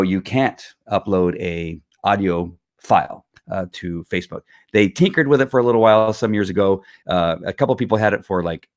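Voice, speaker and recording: A middle-aged man with a fairly low voice hosts a live tutorial from a small home studio. He talks casually into a boom mic, rambling with plenty of ums and restarts. The sound is close and dry. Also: no room sound. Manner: chatty, rambling, many fillers and restarts. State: recovering from losing his voice. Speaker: host of a live video show. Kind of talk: casual screen-share tutorial. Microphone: studio mic on boom arm.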